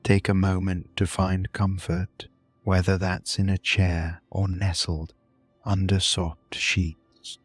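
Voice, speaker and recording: A man narrating a scripted bedtime story in a soothing, deliberate manner, with long pauses between short phrases. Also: low-pitched, level tone, husky, dry recording, soft background music. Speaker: host and narrator of a sleep story podcast.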